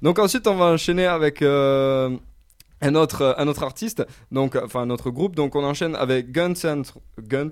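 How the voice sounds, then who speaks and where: A man's voice: a little high, normal speed, clean tone. A man hosting a radio music show, chatting casually between songs and often hesitating with fillers and restarts. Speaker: radio host.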